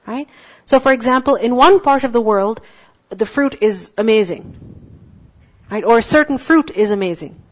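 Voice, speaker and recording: A woman teaching a Quran lesson, asking her listeners questions and answering them herself, with drawn-out Arabic recitation. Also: fairly high pitch, animated. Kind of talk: Quran tafsir lecture. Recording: dull lo-fi recording.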